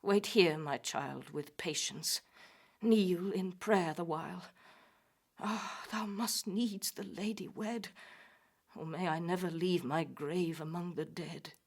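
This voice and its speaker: A feeble old dame from a poetic audio drama, pitched up high into a thin, whispery voice. She speaks haltingly and fussily, breathy and frail with age. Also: full character voice. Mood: urgent, pleading. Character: feeble, aged old dame, slow and pious.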